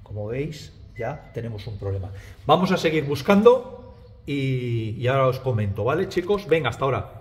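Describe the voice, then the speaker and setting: Electrician talking while working. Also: male voice, mid-range voice, sing-song, normal speed. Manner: talks to viewers as "chicos", repeats words, frequent "¿vale?". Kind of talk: casual on-the-job explainer. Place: small indoor restaurant back room. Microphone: body-worn camera, first-person view.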